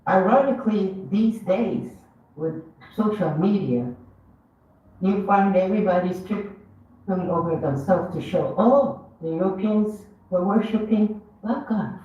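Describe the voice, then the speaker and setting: A speaker from Ethiopia giving an earnest, measured commemorative speech at an event, lifting their voice on key words. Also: mid-range voice. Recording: dull, echoey hall, mic far off.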